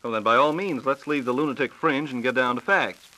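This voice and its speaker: A man's voice: mid-range voice, fairly quick, bright, forceful tone, rough recording. An American man hosting a scripted 1940s radio science program with a playful, theatrical announcer delivery. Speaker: radio host interviewing a science reporter. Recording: 1940s radio broadcast recording.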